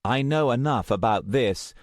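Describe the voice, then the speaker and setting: A man's voice: mid-range voice, lively intonation, normal speed, dry recording, studio quality, voice pushed up, lightly acted. Man acting an insistent, exasperated male story hero. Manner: insistent, reasoning, sometimes snarky. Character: exasperated, protective man who can see the future.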